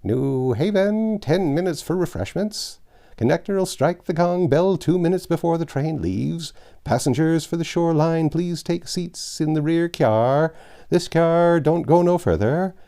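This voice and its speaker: A man voicing a train conductor and vendor, calling out stops and snacks in a booming sing-song. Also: animated, a little slow, gravelly, warm tone.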